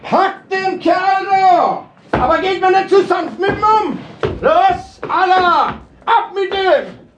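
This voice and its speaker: An actor playing a brutal marauding soldier with a southern German accent, shouting threats in a radio play. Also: male voice, extremely high pitch, expressive, takes it slowly, muffled recording, a little hiss or hum, heavily acted. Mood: barking orders. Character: brutal marauding Baden soldier, merciless bully.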